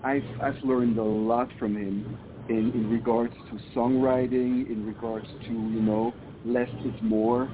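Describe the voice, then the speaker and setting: A man's voice: mid-range voice, little pitch movement, measured pace. A man from Switzerland, a musician answering interview questions in a reflective, hesitant way and often repeating words. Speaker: musician guest. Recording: tinny phone line with noise.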